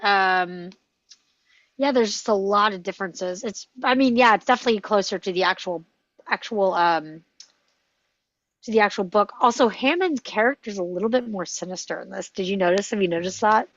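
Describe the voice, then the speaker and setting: A woman's voice: medium pitch, moderate pace, fairly bright tone, no echo, highs cut off. A woman talking casually in a discussion, with mid-range conversational delivery. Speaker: table read participant.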